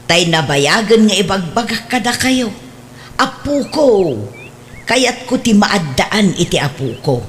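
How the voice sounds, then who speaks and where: A woman's voice: mid-range voice, very animated, unhurried. Woman doing pushy older mom, mid voice, coaxing, faint hiss. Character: older mother, eager and pushy. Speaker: mother longing for grandchildren. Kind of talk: Ilocano radio drama acting.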